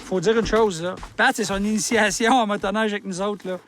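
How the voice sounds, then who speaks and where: A man's voice: high-pitched, steady tone, brisk, background noise. A man from the crew, teasing and playful, calling out questions.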